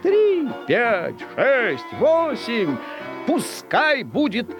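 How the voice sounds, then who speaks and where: A man's voice: very high voice, wildly swooping pitch, very slow, over music, voice pushed up, full character voice. A man voicing a courtly animal trainer who addresses his performing dogs with playful, mock-formal politeness. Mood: playful, instructing.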